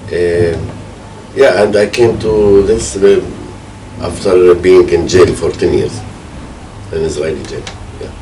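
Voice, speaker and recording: Middle-aged man, Palestinian Arabic accent, deep pitch, hesitant explanatory delivery, slightly distant mic with faint noise. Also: steady tone, mellow. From Palestine, Jerusalem area. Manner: hesitant, frequent pauses and hedging fillers. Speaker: peace activist, organization co-founder. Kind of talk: explanatory interview answer.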